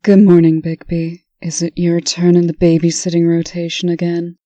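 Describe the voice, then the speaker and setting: A woman's voice: lowish voice, completely flat delivery, moderate pace, dark tone, no echo, dull audio, lightly acted. A woman playing a weakened, testy, dry-witted female patient in a comic dub. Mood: bored, wry.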